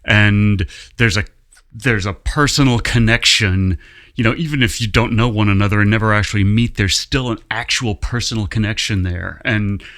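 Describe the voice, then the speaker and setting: A man's voice: fairly low pitch, normal speed, a bit bright. An American man, a theatre director, chatting casually in an interview. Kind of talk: casual chat.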